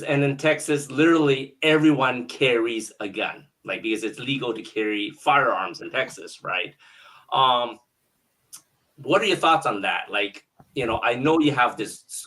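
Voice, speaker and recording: A man's voice: mid-range voice, normal speed, a bit bright, low-quality recording. A man hosting a casual interview, asking questions in a conversational, encouraging way. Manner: encouraging, affirming the guest. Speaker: podcast host, interviewer.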